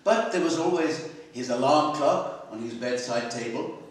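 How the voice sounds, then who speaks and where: A man gives a live, expressive reading of literary fiction, measured and deliberately paused, in the manner of a practised storyteller. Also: medium pitch, recorded from a distance. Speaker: story reader at a literary festival. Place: library hall, live festival reading. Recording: very echoey hall, picked up from afar.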